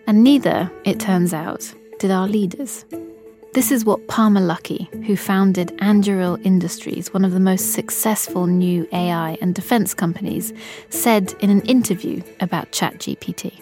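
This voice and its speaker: A woman hosting an investigative podcast in measured, scripted narration. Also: medium pitch. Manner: measured, storytelling.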